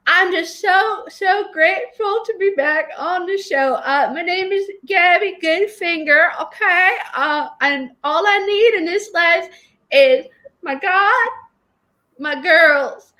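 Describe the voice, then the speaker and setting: A melodramatic grandmother who keeps choking up as she gushes about family and faith, played by a woman. Her voice sits very high and bright. She drags every word out slowly. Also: heavily acted. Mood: weepy gushing. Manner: tearful, gushing, melodramatic. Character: weepy gushing churchgoing grandmother woman. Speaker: comedy show guest.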